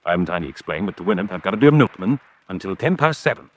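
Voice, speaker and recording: Casting: determined, polite gentleman fugitive for radio drama; lowish pitch, swooping intonation, clipped delivery. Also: expressive, very fast. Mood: brisk, persuasive. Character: determined, quick-witted gentleman on the run. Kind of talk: old-fashioned radio drama acting.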